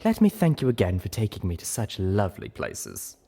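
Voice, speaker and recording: A man's voice: lowish voice, wildly swooping pitch, fairly quick, vocal fry, dark tone, a little hiss or hum, exaggerated voice. A man voicing a refined, ladylike mare with a dry, sarcastic manner.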